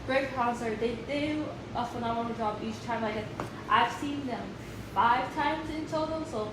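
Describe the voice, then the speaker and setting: An enthusiastic woman in her thirties talks in a casual vlog. She rambles, restarts her sentences and fills pauses as she goes. Her voice sits a little high, and the room echoes around her with a faint ambient hum. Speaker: vlogger.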